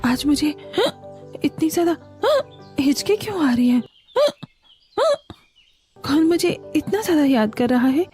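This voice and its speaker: Young daughter-in-law for a family cartoon woman acting, higher pitch, expressive, eager and a bit stubborn, light music behind. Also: unhurried, long pauses. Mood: fretful, puzzled. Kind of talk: Hindi cartoon family story dialogue.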